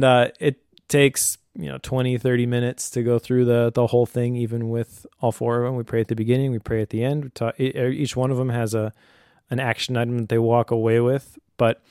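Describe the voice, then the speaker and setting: A man's voice: medium pitch, a little mellow, smooth, no echo, clean studio sound. A man in his thirties chatting casually as a co-host and father, explaining his advice in a conversational way.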